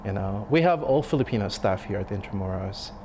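A man in his thirties, a restaurant chef, speaking in a sit-down interview, thoughtful and halting with many fillers and restarts. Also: on the low side, animated, a little mellow, a little hiss or hum. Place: dim restaurant dining room. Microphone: lapel mic.

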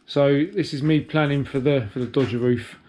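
A man's voice: mid-range voice, steady tone, moderate pace, darkish tone. A man in his forties casually narrating his boat work to camera, thinking aloud with fillers, recorded on an action camera. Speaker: sailing vlogger. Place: on the water by a boat hull, then in a workshop. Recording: faint outdoor background noise.

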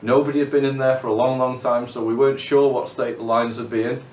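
Cave diver in a roomy living room. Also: male voice, medium pitch, level tone, fast, a little mellow, a little hiss or hum. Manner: repeats words when starting phrases. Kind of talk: documentary interview. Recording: roomy, from a distance.

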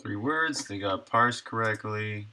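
A man's voice: lowish voice, measured pace, pushed and bright. A man in his thirties livestreaming game development, casually thinking aloud in short, muttered thoughts as he works.

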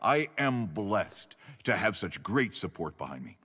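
A man's voice: lowish voice, very animated, a little slow, sharp tone, slightly rough, big-room sound, exaggerated voice. An actor playing a cocky, self-assured male champion fighter in a staged television interview for a game. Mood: smug, genial.

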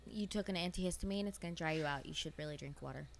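A woman's voice: sits low, very fast, vocal fry, background noise. A woman chatting casually on a stream, matter-of-fact and chiding. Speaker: stream co-host. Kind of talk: casual chat.

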